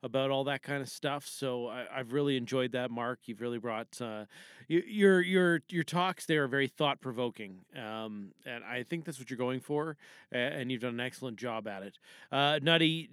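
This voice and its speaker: A middle-aged man talks loosely and casually into a podcast, audibly tipsy and wandering as he goes. His voice is smooth and clear at an ordinary pitch, recorded dry and close with very little room sound. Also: fairly bright tone, dry recording. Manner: rambling, halting, loose. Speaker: podcast contributor. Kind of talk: unscripted casual monologue.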